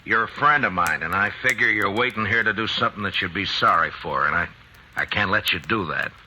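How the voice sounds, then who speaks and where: A man playing a steady frontier town marshal in a vintage-style radio drama, speaking calmly and firmly. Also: fairly low pitch, a little fast, breathy edge, full character voice. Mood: calm resolve. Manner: calm, firm, gentle. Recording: noisy audio.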